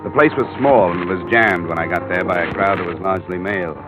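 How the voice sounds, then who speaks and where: An actor playing a tough, cool private investigator in 1950s radio detective style, terse, dry and probing. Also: male voice, sits low, fast, natural voice. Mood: dry narration. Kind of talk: 1950s radio detective acting, dialogue and narration. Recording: muffled old radio recording with music.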